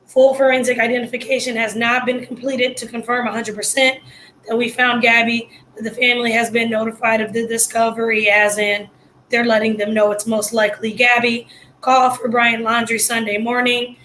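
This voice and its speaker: A hoarse woman giving casual, blunt live reaction commentary full of slang. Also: a little high, steady tone, normal speed, a bit bright, slight background noise, poor audio. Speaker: livestream commentator.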